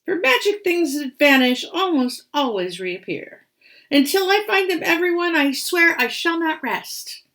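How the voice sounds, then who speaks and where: A woman's voice: sits high, lively intonation, measured pace, a bit bright, voice pushed up, exaggerated voice. A woman voicing a brave, earnest young badger boy in a rhyming storybook, eager and resolute. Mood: determined, reassuring.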